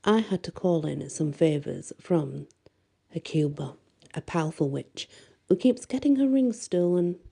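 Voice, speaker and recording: Mellow, low-voiced woman reading a story. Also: expressive, measured pace, creaky, husky. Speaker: audiobook reader and storyteller. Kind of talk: expressive first-person bedtime story reading.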